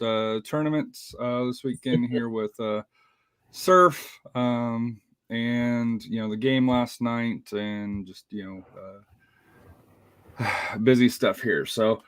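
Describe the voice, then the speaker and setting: A man's voice: mid-range voice, steady tone, takes it slowly, clean tone, no room sound. A man, hosting a casual soccer talk show and rambling with lots of fillers. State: slightly raspy voice. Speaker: podcast host.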